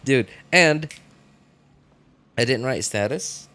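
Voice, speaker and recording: A man live streaming a video game, chatting casually and thinking aloud in a halting way. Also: medium pitch, expressive, sharp tone. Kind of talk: casual live stream chat while gaming.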